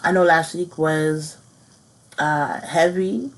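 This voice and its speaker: A woman in her thirties hosting her own podcast, speaking earnestly and haltingly about something personal, repeating phrases as she works through it. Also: sits low, fairly even intonation. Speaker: podcast host. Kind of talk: personal reflective monologue.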